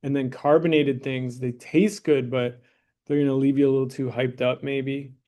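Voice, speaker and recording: Joking high school math teacher. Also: male voice, level tone, normal speed, warm tone. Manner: joking, conversational, checks in with "right?" and "okay?". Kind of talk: classroom lesson with a mock sponsor plug. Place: classroom.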